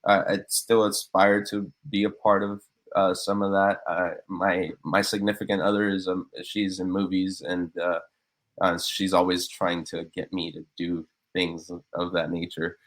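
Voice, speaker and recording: A man's voice: low-pitched, level tone, a little slow, poor audio. A man in his thirties from Cuba, raised in Miami, talking earnestly as a guest on a podcast, with many fillers and restarts. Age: 30s. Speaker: guest, business owner. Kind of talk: casual podcast interview.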